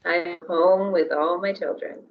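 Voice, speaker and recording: A lower-pitched woman with a hoarse, gravelly voice, speaking candidly with some hesitant restarts. She sounds distant and dull, as if she were on a video call. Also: fairly even intonation, a little slow, slight background noise. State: voice going hoarse. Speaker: parent sharing her experience, tapping echo. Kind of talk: casual conversation, then repeating tapping phrases.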